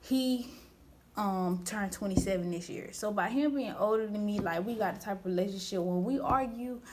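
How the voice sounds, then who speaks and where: A woman's voice: medium pitch, normal speed, a little mellow, a little hiss or hum. A woman of twenty, chatting candidly through a casual storytime vlog, slangy and rambling with restarts. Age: 20s. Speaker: vlogger.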